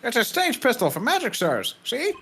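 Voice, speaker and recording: Woman as blustery male detective, video game fan dub. High voice, very animated, folksy and emphatic. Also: normal speed, husky, heavily acted. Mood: dutiful, matter-of-fact. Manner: folksy, emphatic, ends phrases with pal or sir. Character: gruff, brash male police detective, loyal and blustery.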